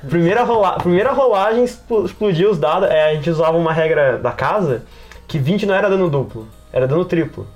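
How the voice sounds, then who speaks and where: Fast-talking, high-voiced Brazilian man. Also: faint music behind. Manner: animated rambling explainer, heavy on slang. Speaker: podcast panelist and game master. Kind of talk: casual podcast discussion.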